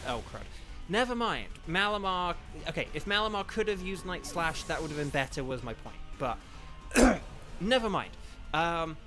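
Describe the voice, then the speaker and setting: A young man streaming a game, chatting casually with his chat, rambling with lots of fillers, a bit croaky. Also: a little high, bright, forceful tone. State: slightly croaky from eating. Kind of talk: casual gaming livestream chat.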